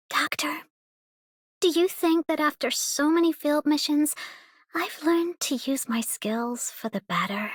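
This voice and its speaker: Bright, high-voiced young prankster girl. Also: no echo, clean studio sound, heavily acted. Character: mischievous young girl prankster trying to behave better. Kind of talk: scripted game character voice line.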